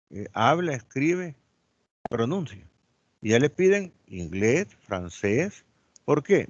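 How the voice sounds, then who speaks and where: A man's voice: medium pitch, dry recording. An older man in his sixties with a Salvadoran accent, lecturing on economics and telling personal stories. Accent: Salvadoran Spanish. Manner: animated, tells personal stories, asks rhetorical questions. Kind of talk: university lecture with questions. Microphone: online class audio. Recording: dull lo-fi audio.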